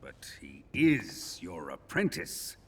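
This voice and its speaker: An actor playing a sadistic, commanding Soviet colonel in a video game cutscene, speaking in a deliberate, theatrical, menacing way. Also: male voice, sits low, wildly swooping pitch, a bit bright, a little hiss or hum, full character voice. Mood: cold suspicion. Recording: long hall reverb, music behind.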